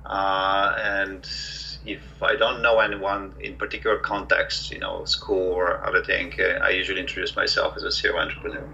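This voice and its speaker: A man in his forties from Bulgaria, with a fairly low but very bright, sharp voice. He talks slowly and reflectively, pausing often with ums and uhs, over faint background noise. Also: flat delivery, vocal fry, muffled recording. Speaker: entrepreneur guest. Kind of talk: conversational interview.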